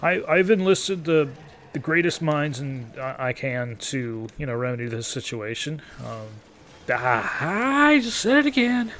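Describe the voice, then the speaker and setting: A man in his early thirties giving casual, rambling gameplay commentary in an informal, halting, profane, self-deprecating way. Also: mid-range voice, big pitch swings, a bit bright, slight background noise. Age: early 30s. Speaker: gaming video creator.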